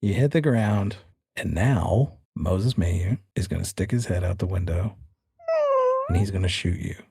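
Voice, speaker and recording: A man's voice: low-pitched, a little slow, vocal fry, warm tone, slightly rough, no room sound. A man acting as game master, narrating a tabletop game with dramatic storytelling and casual banter.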